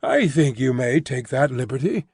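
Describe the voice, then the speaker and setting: A man voicing a gentle older doctor and grandfather who speaks in a calm, soothing, measured way. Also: medium pitch, husky, no echo, studio quality.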